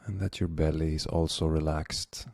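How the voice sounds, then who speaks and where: A man leading a guided meditation as the guide, speaking gently and unhurriedly with long pauses. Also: very deep voice, little pitch movement, vocal fry, no echo.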